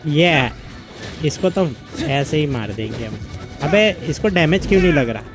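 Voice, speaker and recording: A Hindi-speaking man streaming a game, talking extremely fast in a slightly high voice. His commentary is casual, slangy and teasing, with game music faintly behind. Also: expressive. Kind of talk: casual live gaming commentary.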